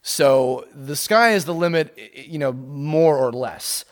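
A man's voice: fairly high pitch, measured pace. A man hosting a casual fan podcast, talking in a rambling way with many restarts and fillers, and joking with his guest. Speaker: podcast host.

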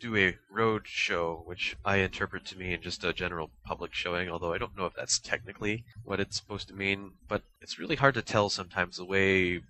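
A man's voice: low-pitched, fairly even intonation, brisk, few pauses, pushed and bright, poor audio. A man co-hosting a casual fan discussion, explaining details with frequent qualifications. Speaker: podcast co-host. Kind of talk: casual discussion of anime fandom.

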